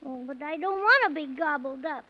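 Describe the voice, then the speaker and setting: A woman's voice: high voice, expressive, a little fast. A woman playing a timid, polite six-year-old boy, plaintive and homesick, in a 1930s children's radio serial. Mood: frightened. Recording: dull, muffled 1930s radio recording.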